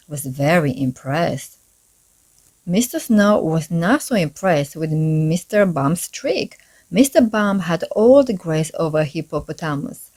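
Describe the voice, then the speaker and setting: Slow, expressive woman reading a children's storybook. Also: on the low side. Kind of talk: read-aloud scripted storytelling for children.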